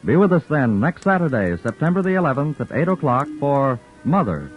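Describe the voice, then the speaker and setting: A man making formal, measured announcements as a vintage radio announcer. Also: mid-range voice, very animated. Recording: tinny old radio broadcast with music.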